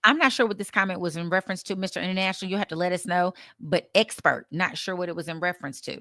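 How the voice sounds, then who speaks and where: A woman's voice: on the low side, fast, no echo, studio quality. A woman co-hosting a live sports talk show, casually reading out viewer comments. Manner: lively, plays out quoted voices.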